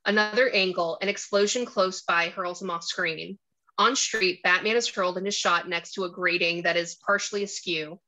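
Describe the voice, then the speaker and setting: A woman's voice: lowish voice, fairly even intonation, normal speed, bright, forceful tone, poor audio. A woman narrating a screenplay, reading out stage directions and scene descriptions.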